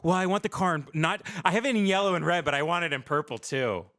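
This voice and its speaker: A man's voice: a little high, fast talker, a bit bright, no room sound, studio quality. A man hosting a livestream in a casual comedic chat, rambling through irreverent, profane stories. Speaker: livestream show host.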